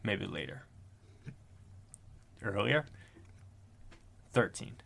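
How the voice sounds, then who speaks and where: A man's voice: lowish voice, sing-song, very slow, gravelly, background noise. A man in his thirties co-hosting a podcast, chatting casually at home, rambling and correcting himself as he goes, with an occasional swear. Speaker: podcast co-host. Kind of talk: casual chat. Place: home room.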